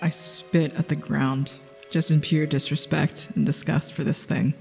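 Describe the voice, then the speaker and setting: A woman improvising dramatic narration for her tabletop character, intense and halting, dwelling on single words. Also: low-pitched, level tone, vocal fry, darkish tone. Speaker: player in a tabletop game podcast. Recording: long reverb under music.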